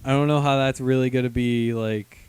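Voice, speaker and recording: A young adult man on a review panel, chatting casually and explaining his points in a measured, wry way. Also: medium pitch, fairly even intonation, a little mellow, clean tone. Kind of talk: casual group review chat.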